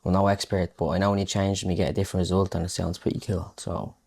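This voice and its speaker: A man's voice: low-pitched, flat delivery, quick pace, vocal fry. A man presenting a casual music production tutorial, thinking aloud in an informal, muttering way as he works. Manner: informal, muttering to himself while working. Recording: electronic house music playing loudly. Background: loud electronic house music.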